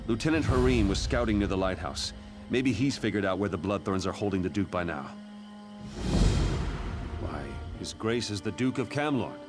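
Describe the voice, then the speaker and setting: A man voices a harried court chamberlain in a fantasy game. His voice is fairly low and his phrasing formal, with wry, exasperated scorn. Music is clearly heard behind him. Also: natural voice. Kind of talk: fantasy video game dialogue.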